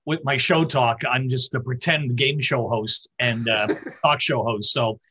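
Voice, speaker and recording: A man from Ontario hosting a casual interview over a video call, chatty and quick to laugh. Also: medium pitch, fairly even intonation, sharp tone, husky. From Ontario, Canada. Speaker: talk show host.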